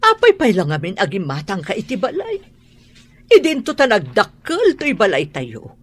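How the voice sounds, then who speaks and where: A woman's voice: medium pitch, huge pitch swings, moderate pace, slightly rough. A woman acting a stern, proud middle-aged mother in a radio drama. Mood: pleading. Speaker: mother disowning her son.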